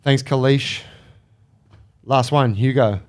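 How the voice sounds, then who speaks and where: A man with a mid-pitched voice talks easygoing and chatty, peppering his advice with "you know" and the odd casual swear word. It sounds relaxed and conversational. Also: slow. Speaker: podcast co-host.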